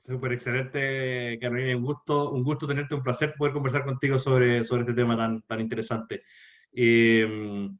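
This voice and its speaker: A man's voice: medium pitch, level tone, moderate pace. Man moderating a panel over dull audio.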